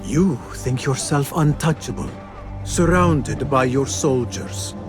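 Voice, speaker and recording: An actor playing a young assassin hero in a video game, speaking in a calm, formal and determined way. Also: male voice, medium pitch, takes it slowly. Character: male assassin hero, young adult, resolute. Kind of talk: video game cutscene acting. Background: game music score.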